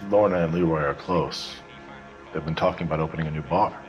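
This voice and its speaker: A man's voice: very deep voice, a little fast, highs cut off, faint music behind. An American man acting a guarded bartender in a video game, offhand and wry in his answers. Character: guarded, wry male barman at a seedy bar.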